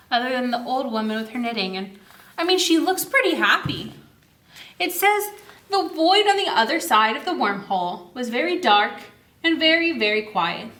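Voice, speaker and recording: A woman's voice: sits high, fairly bright tone. A woman hosting a children's story time, reading aloud and talking directly to young listeners with questions and prompts. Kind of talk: animated read-aloud and chat to young children.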